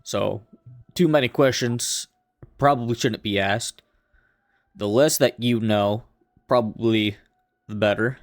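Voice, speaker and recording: A man playing a tabletop roleplaying game, improvising his character's lines in a measured, deliberate way, in short phrases. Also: lowish voice, no room sound, studio quality.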